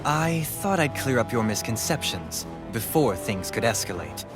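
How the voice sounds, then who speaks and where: A man plays a self-assured young nobleman in a fantasy game. He speaks in polished, formal phrases with calm courtesy, over prominent music. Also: mid-range voice, normal speed, close to an everyday voice. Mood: courteous, composed. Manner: formal, eloquent, composed. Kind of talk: dramatic video game voice acting. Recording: orchestral game music behind voice.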